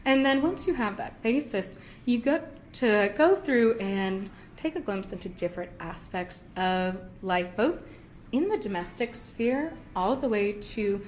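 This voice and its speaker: Young woman, lively museum guide. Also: mid-range voice, a little slow, slight background noise. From Cow Island, Louisiana. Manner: lively, with frequent fillers and laughs. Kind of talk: interview answers. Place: indoors at a heritage village, on location.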